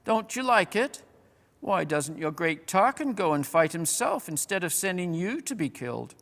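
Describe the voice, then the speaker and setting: A man voicing jeering, defiant dwarfs with a sneering, taunting yell in a storybook reading. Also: high voice, big pitch swings, moderate pace, creaky, pitched above the performer's own voice, full character voice. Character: jeering, defiant band of male fantasy dwarfs. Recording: long reverberant hall.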